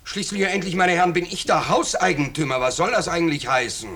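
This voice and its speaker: An actor playing a pompous, blustering but cowardly middle-aged husband and house owner in 1950s radio drama style. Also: male voice, a little high, brisk, a bit bright, natural voice. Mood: indignant. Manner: indignant, self-important, emphatic. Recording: noisy vintage 1950s radio recording.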